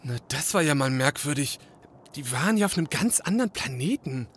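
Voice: medium pitch, expressive, brisk, a little hiss or hum, lightly acted. A performer playing an eager, short male detective's assistant in a comedy audio drama, earnest and hesitant. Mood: puzzled, eager.

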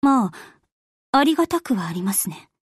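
A formal, sincere anime game heroine with quiet pride. The voice is high and moves widely in pitch, recorded dry and close. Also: female voice, big pitch swings, normal speed, breathy edge, no room sound. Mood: reserved. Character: anime warship women, formal, sincere, gently proud. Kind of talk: Japanese anime game character voice lines.

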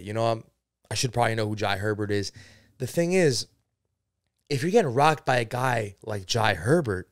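A man's voice: on the low side, no echo. Rambling young man podcasting, dry sound. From Spain. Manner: rambling, energetic, swears casually, frequent asides. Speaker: MMA podcast host. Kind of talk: casual solo podcast breakdown. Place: small home studio, indoors. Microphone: studio mic on boom arm, headphones.

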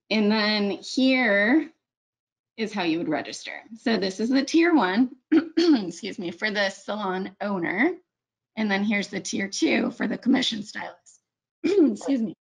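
A woman walking viewers through a website in a webinar, chatty and correcting herself often. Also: medium pitch. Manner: chatty, self-correcting, many ums. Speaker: webinar presenter. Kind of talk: screen-share webinar walkthrough.

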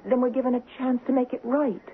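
A woman's voice: sits high, flat delivery, fast, narrow, tinny audio, lightly acted. Actress as dreamy young woman, thin noisy radio sound. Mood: earnest. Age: young adult. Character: young engaged woman, earnest, dreamy, obsessed with the past. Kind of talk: 1970s radio drama acting, narrating and dialogue. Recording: noisy, thin band-limited old radio broadcast.

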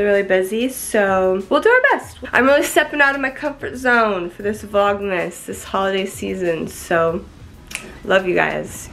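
A woman's voice: mid-range voice, a bit bright. A young woman in her twenties chatting casually to the camera in a small apartment, congested from a cold, bubbly and chatty with casual swearing. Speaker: vlogger. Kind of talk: casual vlog chat to camera. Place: small apartment bedroom, indoors. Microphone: camera in front, close framing.

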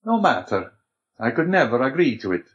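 Elderly learned abbé, storybook prisoner played by a man: raised pitch, principled, gentle, exclaims with formal earnestness. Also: sing-song, normal speed, muffled recording, close to an everyday voice. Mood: firm refusal.

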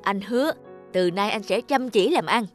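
A woman's voice: medium pitch, animated, moderate pace, faint music behind, close to an everyday voice. A woman voicing a remorseful elder brother in a children's cartoon, contrite and earnest. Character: remorseful elder brother, reformed lazy drinker.

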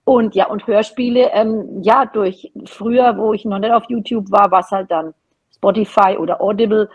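Middle-aged woman with a husky voice. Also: mid-range voice, fairly even intonation, normal speed, dull audio. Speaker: podcast guest, knitting creator. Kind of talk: casual chat.

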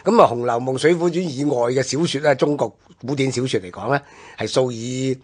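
A man's voice: mid-range voice, a little fast, darkish tone. A man with a Hong Kong Cantonese accent explaining things conversationally, as a commentator on a radio history programme.

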